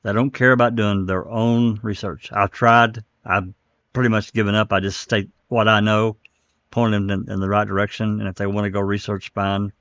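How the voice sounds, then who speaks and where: A man hosts a casual talk stream in a low voice, thinking aloud and rambling from point to point. The audio is slightly dull, like a muffled online stream. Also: level tone, moderate pace, a bit bright, no echo. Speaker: live stream host. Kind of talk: casual commentary on case records.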